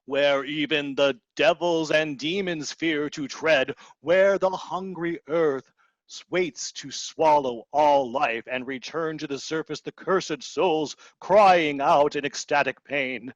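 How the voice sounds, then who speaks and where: A man acts out an anguished, grandiose castaway in an absurdist radio play. The voice is fairly high for a male, unhurried and declamatory. It comes over a dull, dry video-call headset. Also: no room sound. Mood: ominous. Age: middle-aged. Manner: theatrical, declamatory. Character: grandiose, anguished stranded man given to doom-laden lament.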